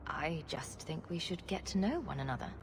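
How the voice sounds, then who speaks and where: A woman's voice: low-pitched, lively intonation, normal speed, background noise. An actress voicing a witty, sarcastic female rogue hero in a video game, dry and playful in delivery. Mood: flirtatious, curious. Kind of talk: video game character acting.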